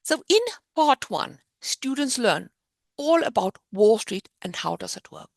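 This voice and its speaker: Lively South African woman presenter. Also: medium pitch, measured pace, gravelly, no echo, clean studio sound. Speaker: program founder presenting. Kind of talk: virtual fair presentation. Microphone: video call.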